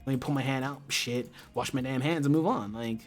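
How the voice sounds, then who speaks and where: American man, medium pitch, casual livestream chat, impassioned, slangy, sweary, faint background music. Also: fairly quick. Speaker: livestream host.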